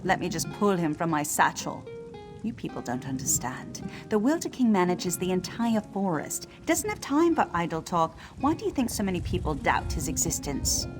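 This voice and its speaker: A sardonic wood elf leader in a fantasy video game. She sounds haughty and dismissive, with a slightly low voice. Light music plays underneath. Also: big pitch swings, normal speed. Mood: mocking, dismissive. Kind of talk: video game character acting. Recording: music behind the voice.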